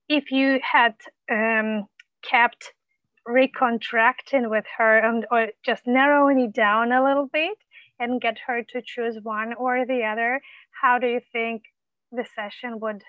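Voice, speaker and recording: A woman coach mentor, whose first language is not English, giving supportive, measured feedback with frequent pauses and ums. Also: fairly high pitch, fairly even intonation, no room sound, muffled recording.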